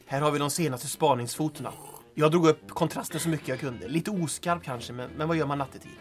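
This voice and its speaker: An actor playing a wry, loyal but cautious sergeant sidekick in old-time radio drama style. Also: male voice, on the high side, soft background music, close to an everyday voice. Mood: matter-of-fact. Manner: dry, wry, understated.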